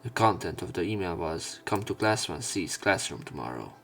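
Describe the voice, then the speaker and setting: A man reading visual novel text aloud as a let's player, in a measured, serious manner. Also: sits low, expressive, creaky, a bit bright, faint music behind.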